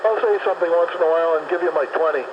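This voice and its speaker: Guy on cb radio driving his paper route, bit high voice, friendly, lots of static, muffled. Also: rapid-fire. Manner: friendly, chatty, gives his location. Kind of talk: casual CB radio chat. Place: moving vehicle on a country road. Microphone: CB radio handset. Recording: noisy lo-fi CB radio transmission.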